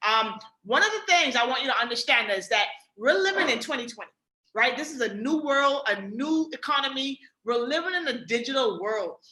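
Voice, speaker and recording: A young adult woman from the Bahamas with a Bahamian accent, giving an energetic motivational sales pitch on a webinar, often asking "right?" and calling the audience "guys.". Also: reverberant. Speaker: webinar presenter.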